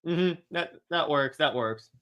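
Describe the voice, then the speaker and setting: Male co-host, high and crisp, agreeable, casual fan talk with repeated phrases for emphasis. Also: a little slow, a bit bright. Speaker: co-host fielding audience questions.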